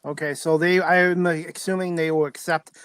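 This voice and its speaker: A man's voice: on the high side, little pitch movement, normal speed, fairly bright tone, clean tone, no room sound, studio quality. A man hosting a casual talk show interview, chatty and agreeable with his guest. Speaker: podcast host, interviewer.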